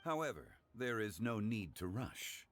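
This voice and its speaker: A man voicing a genial, courtly gentleman in a video game, formal and eloquent with measured, unhurried phrasing. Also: fairly low pitch, very animated, over loud music. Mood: genial, composed.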